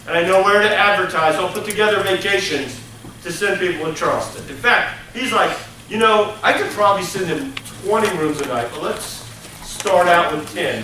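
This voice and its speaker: High bright-voiced man lecturing in echoey room. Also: slight background noise. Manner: animated, role-plays business deals with students. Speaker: college hospitality instructor. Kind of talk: interactive classroom lecture. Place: classroom. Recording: echoey classroom from far off.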